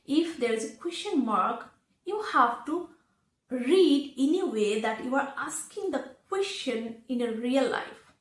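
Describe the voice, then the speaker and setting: A high-voiced woman, not a native English speaker, presents a lesson for learners. She speaks slowly and deliberately, breaking her advice into short phrases. The room around her adds a clear echo. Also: lively intonation. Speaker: English teacher, video presenter. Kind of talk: instructional talk to learners. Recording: echoey room.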